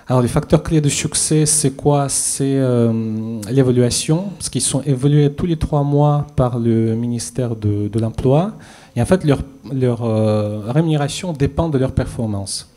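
A man's voice: mid-range voice, dark tone. A man in his thirties, a policy expert on a conference panel, giving a prepared statement with many fillers and restarts into a handheld mic. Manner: measured, hesitant with many fillers. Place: conference stage with audience.

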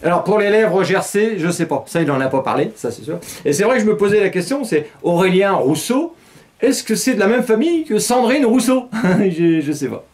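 Middle-aged male satirical host, mocking, chuckling, animated and fairly high-pitched. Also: brisk. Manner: sarcastic, laughs often, animated gestures. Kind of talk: mocking casual commentary. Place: small studio with green-screen news set.

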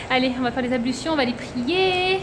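A woman in her thirties, vlogging to a phone at arm's length, chatty and full of hesitations as she walks through a busy bazaar. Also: high-pitched. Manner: chatty, lots of hesitation fillers. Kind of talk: casual vlog chat to camera. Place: busy indoor bazaar hall, later a city bus. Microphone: phone held at arm's length. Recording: loud crowd and traffic noise.

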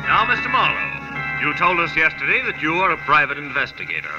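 Declamatory, high-pitched radio prosecutor. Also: male voice, big pitch swings, a little fast. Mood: commanding. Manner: declamatory, ringmaster-like, formal. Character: theatrical male courtroom prosecutor, grand and commanding. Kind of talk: 1940s radio drama acting. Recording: dull 1940s radio recording, loud music.

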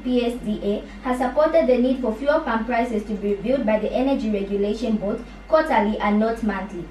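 A woman's voice: fairly high pitch, darkish tone. A teenage female school pupil with a Zambian accent reading scripted radio news. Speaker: pupil newsreader. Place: small radio studio, recorded by camera. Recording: roomy, distant mic, faint noise.

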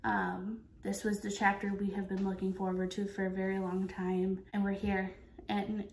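Female vlogger, 20s. Informal, earnest delivery at average pitch, recorded in an echoey room. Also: monotone, moderate pace, gravelly, darkish tone, slight background noise. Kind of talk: casual chat to camera. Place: kitchen of an apartment, indoors.

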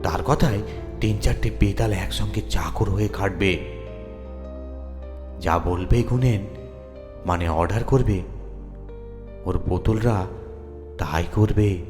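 A man narrates a horror story in the first person, with theatrical, deliberate phrasing. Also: on the low side. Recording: long reverb, music underneath. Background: background music.

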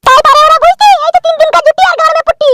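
Piercingly high nagging cartoon wife. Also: female voice, fairly even intonation, very fast, bright, forceful tone, no room sound, soft background music. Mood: scornful. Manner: shrill nagging complaints, crude rural slang. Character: scolding, exasperated young wife in a village comedy cartoon.

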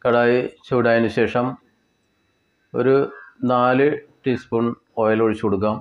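A man with a Kerala Malayali accent giving step-by-step cooking instructions in a plain way, with pauses and repetitions. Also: medium pitch, lo-fi sound. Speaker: home cook presenter. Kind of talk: step-by-step recipe narration.

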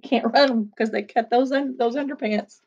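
A woman's voice: fairly high pitch, fairly even intonation, normal speed, darkish tone, lo-fi sound. A woman in her forties, a second-grade teacher, reading a picture book aloud with playful, chatty asides to children from a small attic room at home. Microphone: webcam at desk.

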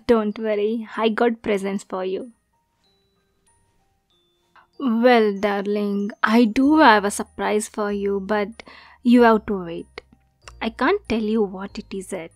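A woman's voice: medium pitch, fairly even intonation, takes it slowly, pauses often, no room sound, clean studio sound, soft background music, close to an everyday voice. A woman playing a sweet, naive but possessive mermaid girlfriend in an intimate ASMR roleplay, coy and often slipping toward a whisper. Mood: coy, teasing.

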